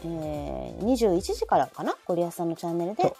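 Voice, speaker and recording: Lively Kansai woman chatting, mellow voice. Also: on the low side, a little fast, creaky, faint music behind. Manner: expressive, reacting with frequent backchannels. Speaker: host. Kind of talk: casual chat.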